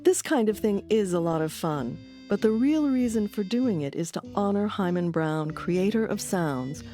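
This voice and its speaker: A woman's voice: mid-range voice, lively intonation, normal speed, dark tone, faint music behind. A woman reading scripted narration as the host of a documentary podcast.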